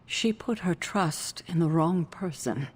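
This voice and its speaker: A woman playing a stern, commanding older guardian in a video game cutscene, grave, deliberate and authoritative. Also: lowish voice, lively intonation, breathy edge, full character voice. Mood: grave, bitter.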